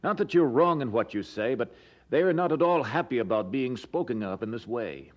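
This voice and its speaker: Man playing genial colonial gentleman, medium pitch. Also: lively intonation, a little fast, lo-fi sound, close to an everyday voice. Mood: concerned, frank. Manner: polite, formal, conversational. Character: courteous, genial colonial Boston gentleman, supportive friend. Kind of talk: audio drama acting.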